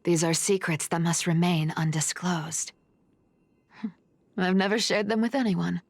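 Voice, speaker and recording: A woman's voice: low voice, no room sound, exaggerated voice. A woman voicing a sly, smug trickster in a video game, theatrical and teasing, with a young adult sound. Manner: teasing, mock-sweet, chuckling asides. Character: sly, smug, playful female trickster with ancient secrets. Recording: music behind the voice. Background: game music.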